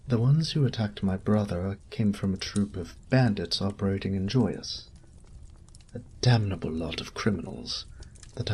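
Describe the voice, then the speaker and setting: A man's voice: fairly low pitch, a little mellow, a little hiss or hum. A man voicing a courteous noble knight captain in a fantasy audio roleplay, speaking in formal, polished phrases and politely probing.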